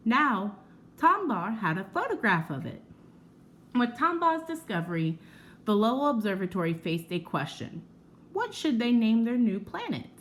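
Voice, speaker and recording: Animated woman reading aloud, noisy recording. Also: medium pitch. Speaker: teacher reading aloud to pupils. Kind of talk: children's nonfiction book read aloud.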